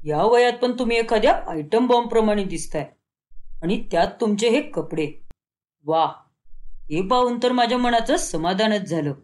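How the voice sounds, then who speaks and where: A woman's voice: mid-range voice, animated, moderate pace, a little mellow, lightly acted. A woman voicing a cheeky, leering village man with oily, taunting flirtation in a cartoon story. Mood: leering flattery. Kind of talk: cartoon moral story dialogue.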